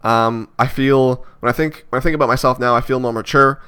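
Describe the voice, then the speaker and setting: A man's voice: mid-range voice, fairly even intonation, fairly quick, fairly bright tone, clean tone. Hesitant rambling man in his twenties. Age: 20s. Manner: rambling, hesitant, many fillers and restarts. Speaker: vlogger. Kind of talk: reflective casual monologue.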